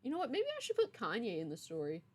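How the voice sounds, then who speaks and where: A woman's voice: fairly high pitch, wildly swooping pitch, fast talker, darkish tone. Playful young woman chatting on a livestream. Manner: playful, teasing, mock-stern banter. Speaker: streamer, game writer. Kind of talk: casual livestream chat.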